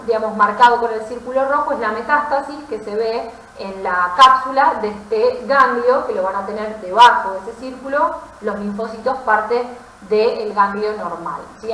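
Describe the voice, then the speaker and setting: An Argentine woman teaches as a medical lecturer in a fairly high voice. She explains step by step in a didactic way, adding short checking questions. The recording is echoey, with clear room sound around her voice. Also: moderate pace, few pauses, bright, forceful tone. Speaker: pathology lecturer, physician.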